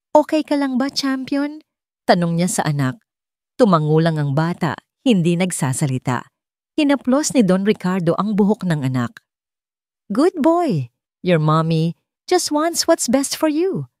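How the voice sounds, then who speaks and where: A woman's voice: low voice, dark tone, no echo, clean studio sound. A woman with a Filipino accent narrating a scripted dramatic story.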